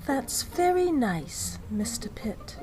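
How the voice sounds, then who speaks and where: A woman voicing a proper, courteous young lady who patiently corrects and gently turns down a suitor, in an old-time radio drama. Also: a little high, big pitch swings, faint music behind. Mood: prim. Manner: prim and courteous, measured and correcting.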